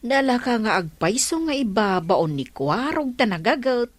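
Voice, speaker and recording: A woman's voice: fairly high pitch, big pitch swings, moderate pace, no echo. A woman playing a helpful, easygoing villager in an Ilocano radio comedy. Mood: obliging. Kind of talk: comedic radio drama acting.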